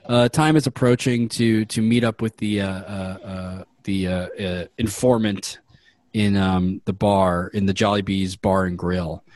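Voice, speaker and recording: A man's voice: low voice, fairly even intonation, unhurried, darkish tone, no room sound. A man narrating a comedic tabletop game as game master, rambling with lots of fillers. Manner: rambling, many fillers, laughs often.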